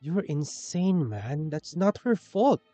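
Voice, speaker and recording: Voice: medium pitch, sing-song, slow pace, warm tone, no echo, soft background music. A streamer giving casual, blunt, sweary reactions while playing a video game.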